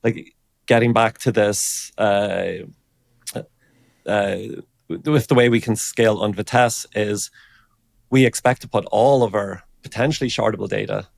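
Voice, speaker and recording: A man explains technical matters conversationally, with a creaky edge to his voice. He talks in a halting, informal way, working out his thoughts aloud, and the sound is close and dry. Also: on the low side, steady tone, dry recording. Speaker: engineering director, interview guest.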